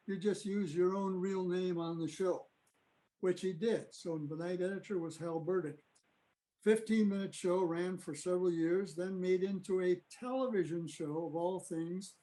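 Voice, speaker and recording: A man's voice: sits high, a little mellow, rough recording. A man giving an informal talk as presenter at a club meeting over a video call. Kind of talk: informal talk with audio clips.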